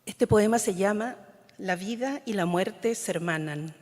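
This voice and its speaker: Woman, Mapudungun native, poet from Chile, unhurried delivery, solemn reading, long hall reverb. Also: mid-range voice, darkish tone. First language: Mapudungun (Huilliche). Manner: measured and solemn, lines trailing off into whispers. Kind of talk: slow, solemn poetry reading. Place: large conference hall.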